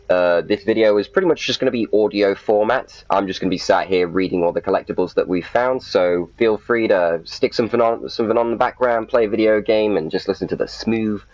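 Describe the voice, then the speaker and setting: Low-voiced man, chatty gaming host. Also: a little mellow, rough recording. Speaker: gaming video host and reader. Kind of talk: casual gaming commentary and document reading.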